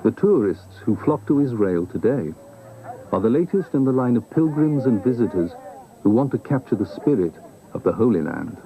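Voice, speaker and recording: A man reading scripted documentary narration in a measured, formal manner. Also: mid-range voice, big pitch swings, a crowd in the background, rough recording.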